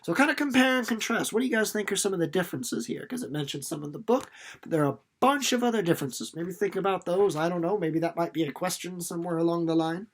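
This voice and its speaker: Young man, higher male voice, storybook read-aloud, casual commentary, chatty, musing aloud. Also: fast. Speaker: chapter reader and commentator.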